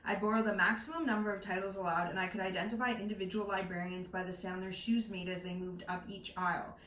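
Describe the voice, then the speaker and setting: Young female co-host for casual book talk. Keen, opinionated, bright tone, recorded in a roomy space. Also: mid-range voice. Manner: enthusiastic, giggly, opinionated. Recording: roomy echo with faint background noise.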